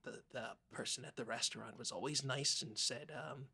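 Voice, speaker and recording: A man performs a naive small-town podcast co-host with a husky, slightly rough voice. He stumbles and hesitates through improvised comedy banter, sounding timid, earnest and easily offended. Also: medium pitch, normal speed, sharp tone, full character voice. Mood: sheepish recounting.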